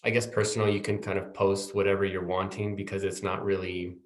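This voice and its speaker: A man hosting a podcast interview, relaxed and agreeable, with lots of fillers. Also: deep voice, brisk. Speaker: podcast host. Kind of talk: casual interview. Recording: roomy echo.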